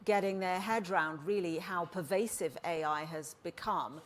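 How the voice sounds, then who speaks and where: A woman's voice: on the low side, a little slow. A woman moderating a stage interview in a conference hall, asking questions in a hesitant, conversational way.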